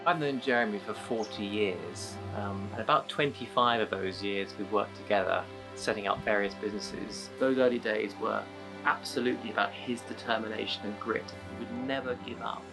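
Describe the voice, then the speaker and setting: Lowish guy vouching for a friend, music playing under. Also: normal speed, creaky, poor audio. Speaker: longtime business partner. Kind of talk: testimonial in a campaign video. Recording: music bed under interview.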